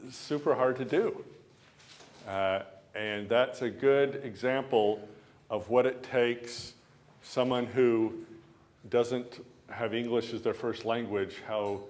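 An older man leading a university class in a classroom, talking casually and repeating words as he thinks aloud. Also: lowish voice. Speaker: university instructor. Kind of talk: classroom lecture and discussion. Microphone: camera at the back of the room.

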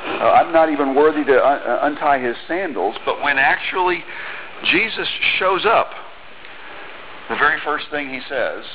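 A man's voice: mid-range voice, highs cut off, slight background noise. A middle-aged man preaching a sermon in a church on a headset mic, talking conversationally with small restarts and reading scripture aloud. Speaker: pastor. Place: church sanctuary.

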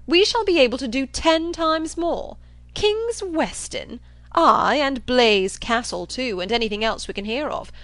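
A woman voicing a loud, brash, boastful young man with a blustering, impatient delivery in a storybook reading. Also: sits high, lively intonation, unhurried, dry recording, pitched above the performer's own voice. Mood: boastful, scoffing. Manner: blustering, emphatic exclamations.

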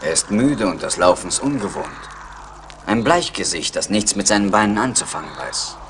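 A man acting a solemn, calm young Mohican tracker in a German radio play, speaking in a measured, stately way. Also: fairly low pitch, noisy background. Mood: observant.

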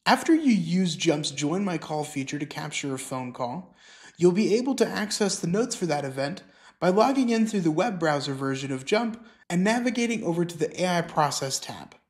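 A middle-aged man presenting scripted instructional narration, explaining clearly step by step. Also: fairly high pitch, lively intonation, moderate pace. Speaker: software tutorial presenter.

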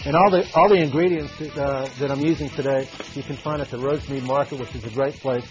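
A middle-aged man chef talks his way through a recipe on a TV studio set, clipped to a lapel mic. He speaks at an average pitch in a relaxed, folksy way, filling gaps with "uh" as he cooks. The broadcast audio is a little dull, with faint music behind. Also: quick pace. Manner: folksy, talks while cooking with frequent fillers. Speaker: restaurant chef. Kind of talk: live TV cooking demo.

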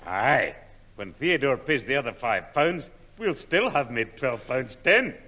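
A man's voice: mid-range voice, animated, measured pace, bright, forceful tone. An actor playing a genial, hospitable elderly grandfather in a 1960s radio sitcom. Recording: echoing, noisy old radio recording.

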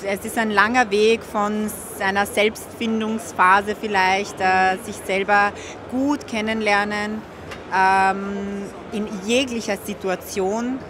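A woman's voice: mid-range voice, fairly even intonation, slow pace. A woman in her thirties giving candid, conversational interview answers, searching for words, into a handheld recorder. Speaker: interviewed fitness coach. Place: large indoor fitness expo hall. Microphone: handheld recorder held out to speaker. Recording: noisy busy trade fair hall.

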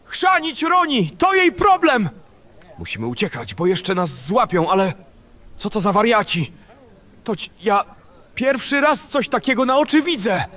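A man acting a selfish, panicky bandit in a fantasy audio drama, with an excitable, colloquial way of speaking. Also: sits high, measured pace, full character voice. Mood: agitated.

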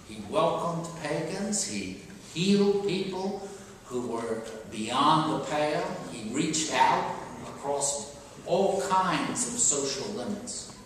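Older male preacher in a very echoey church. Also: on the high side. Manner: halting and emphatic, with pauses and rhetorical questions. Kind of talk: sermon, homily delivered conversationally. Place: large church interior, at a pulpit. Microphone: far camera microphone. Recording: distant, very echoey church, clear background noise.

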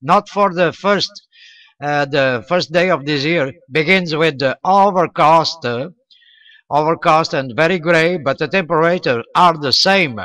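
A man with a Portuguese accent speaks in a very clear, slightly high voice. His English is halting and courteous, in the style of an amateur radio contact. The sound is close and dry. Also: pushed and bright, no room sound. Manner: halting, non-native English with courteous sign-offs. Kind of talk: casual radio contact chat.

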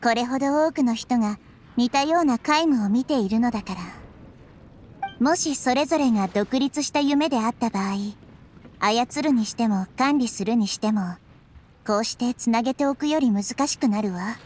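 A woman voicing a gentle, wise, childlike girl deity in an anime video game, speaking in a measured, explanatory way. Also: fairly high pitch, natural voice. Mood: calm, thoughtful. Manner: measured, soft-mannered, explanatory.

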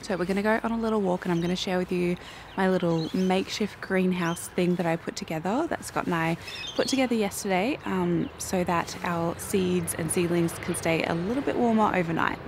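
A young woman with an Australian accent and a croaky morning voice, chatting casually and self-mockingly to camera in her backyard garden. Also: on the low side, a little fast, background noise. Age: young adult. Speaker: flower farming vlogger. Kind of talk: casual vlog talk to camera.

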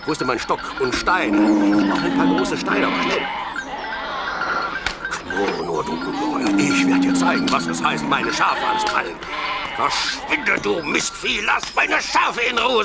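An actor in a radio play voicing a dreamy, plucky young shepherd boy who muses aloud expressively. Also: medium pitch. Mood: alarmed, fierce. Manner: expressive, musing aloud to himself and animals. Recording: clear outdoor animal noise behind. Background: sheep, birds, outdoor ambience.

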